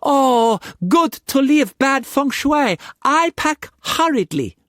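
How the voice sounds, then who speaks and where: A shrill, teasing young mistress. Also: male voice, big pitch swings, measured pace, fairly bright tone, no room sound, studio quality. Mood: delighted. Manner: broken pidgin English, teasing and chattering. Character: playful pregnant young Chinese mistress.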